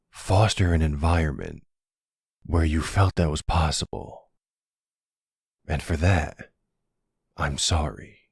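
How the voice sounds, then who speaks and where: A deep, gravelly middle-aged crime boss, played by a male actor in an intimate roleplay drama. He speaks in a measured, fatherly way, firm yet protective. The sound is close and dry. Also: no echo, heavily acted. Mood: regretful. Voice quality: low gravelly husky. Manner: slow, measured, fatherly, streetwise. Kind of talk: ASMR roleplay audio drama.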